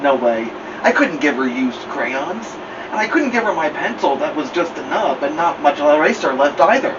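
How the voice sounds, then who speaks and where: A man reading a children's picture book aloud as a storytime host, expressively, with drawn-out emphasis. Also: fairly high pitch, expressive. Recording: muffled, clear background noise, slightly distant.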